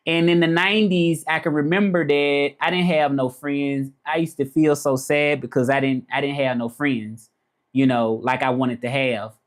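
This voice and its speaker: A man's voice: on the high side, steady tone, moderate pace. Chatty Southern man from Memphis. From Memphis, Tennessee. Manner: rambling, chatty, repeats himself. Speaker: vlogger answering live chat. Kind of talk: casual live-stream chat.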